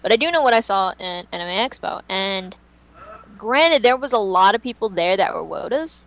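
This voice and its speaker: A woman's voice: mid-range voice, animated, moderate pace. A woman chatting casually as a podcast panelist, explaining her points with emphasis, on dull lo-fi audio. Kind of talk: casual fan chat.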